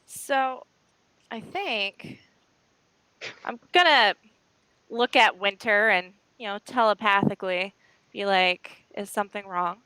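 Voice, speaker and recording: Woman, smooth clear voice, dry sound, casual role-play, online game player. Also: mid-range voice, takes it slowly, pauses often, bright, forceful tone, no echo, rough recording. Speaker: tabletop role-playing player.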